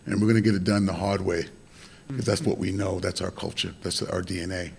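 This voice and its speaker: A hoarse man with a very low, gravelly voice speaks haltingly, pausing often with fillers. His delivery stays flat and even. Faint room ambience sits behind him. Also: slight background noise. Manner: halting, many pauses and fillers. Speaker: honoree giving thanks. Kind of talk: emotional thank-you speech. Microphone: meeting room microphone.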